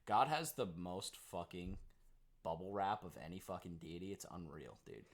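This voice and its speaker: A man's voice: low voice, expressive, moderate pace. A young American man co-hosting a podcast, chatting casually, rambling, swearing a lot and laughing often. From United States. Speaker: podcast co-host. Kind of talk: casual chat.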